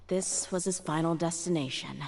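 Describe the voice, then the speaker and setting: A woman's voice: on the low side, normal speed, darkish tone, slight background noise, natural voice. An actress playing an earnest, grieving young woman in a game, speaking in a subdued, wistful way. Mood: pensive, questioning. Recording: game voice under clear music.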